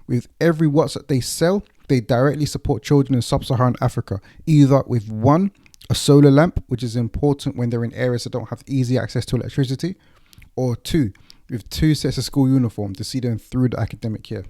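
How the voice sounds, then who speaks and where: A man's voice: medium pitch, brisk, dark tone. A man from East London with a London accent, hosting an interview podcast in an informal, conversational way. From East London, England. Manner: informal, conversational, uses slang. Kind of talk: casual interview chat and podcast introduction.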